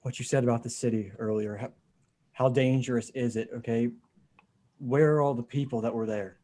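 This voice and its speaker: A man acting a weary, shaken apocalypse survivor with terse, halting delivery in a comic book table read over a video call. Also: mid-range voice, steady tone, dark tone, close to an everyday voice. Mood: weary, questioning.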